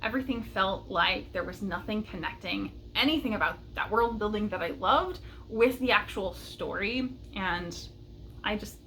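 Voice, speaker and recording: A woman's voice: mid-range voice, normal speed, sharp tone, slight background noise, poor audio. A woman chatting casually and enthusiastically as a book vlogger, with a light chuckle in her talk.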